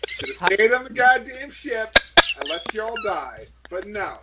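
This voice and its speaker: A man's voice: high voice, very animated, measured pace, heavy background noise. A man playing a tabletop game, swearing a lot in an exasperated way. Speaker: player. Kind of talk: casual tabletop play.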